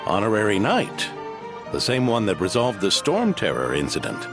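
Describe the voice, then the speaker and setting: An older knightly mentor from a fantasy game, pitched fairly low and swinging widely in melody. He is wry, folksy and shrewd, teasing while he fishes for the truth. Music plays clearly under the voice. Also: wildly swooping pitch, normal speed. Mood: probing suspicion. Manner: wry, probing, folksy speech. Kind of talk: video game voice acting.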